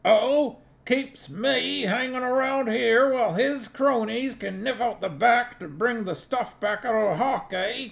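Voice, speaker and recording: Nobby, a gravelly, high-pitched blusterer. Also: male voice, a little slow, few pauses, heavily acted. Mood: brash, pushy. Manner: blustering, pushy, bossing people about. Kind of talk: audiobook character voice.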